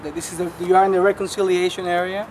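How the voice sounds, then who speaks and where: A man's voice: high voice, little pitch movement, rapid-fire. A young man in his twenties from Venezuela, a landscape architecture student, chatty and friendly. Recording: noisy outdoor traffic recording.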